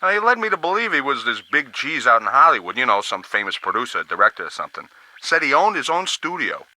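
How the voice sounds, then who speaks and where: An actor playing a folksy, easygoing radio operator in a video game, chatty and plain-spoken. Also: male voice, medium pitch, very animated, fast talker, pushed and bright, no echo. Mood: chatty, affable. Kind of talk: scripted video game dialogue acting.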